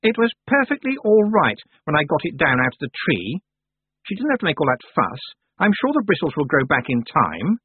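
High-pitched, indignant, haughty young witch girl, man reading, husky, tinny phone-like sound. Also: huge pitch swings, moderate pace, no room sound, heavily acted.

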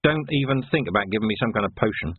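A man's voice: on the low side, lively intonation, quick pace, breathy edge, no echo, narrow, tinny audio. A man playing a blunt, shrewd policeman who takes command, in an audiobook. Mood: wary. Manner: blunt, assertive. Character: gruff, defiant, shrewd policeman taking command. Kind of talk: audiobook character acting.

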